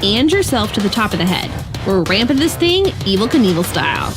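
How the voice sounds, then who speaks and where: A woman voicing a calm, bold young woman who drives the team's van, speaking coolly. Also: mid-range voice, brisk, over music.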